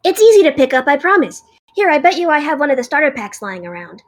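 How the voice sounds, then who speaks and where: Brief: high-pitched nerdy baby dragon, eager rambler, woman voicing it. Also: sing-song, quick pace. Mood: coaxing. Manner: eager, rambling fan talk. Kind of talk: fan audio drama acting.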